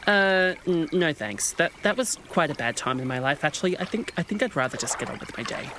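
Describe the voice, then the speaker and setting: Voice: mid-range voice, animated, a little fast, background noise, close to an everyday voice. An actor playing an awkward, wounded, defensive young male swan in a comedic audio drama. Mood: awkward, uneasy.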